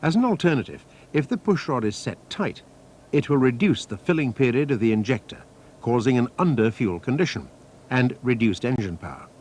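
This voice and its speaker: A man reading a scripted technical training film narration in a measured, precise, instructional manner. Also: medium pitch, expressive, darkish tone. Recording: noisy archive training-film soundtrack.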